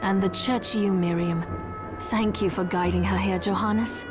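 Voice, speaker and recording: A woman's voice: medium pitch, fairly even intonation, measured pace, natural voice. An actress playing a composed, formal and firm female church exorcist in a video game. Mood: courteous, formal. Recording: loud music behind the voice. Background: loud game music.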